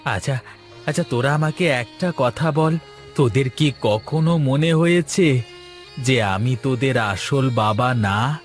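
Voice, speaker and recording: A man's voice: medium pitch, slow pace, faint music behind, lightly acted. A man voicing a poor, protective boatman and devoted adoptive father in an earnest cartoon drama. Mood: earnest, hurt. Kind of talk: Bengali cartoon voice acting.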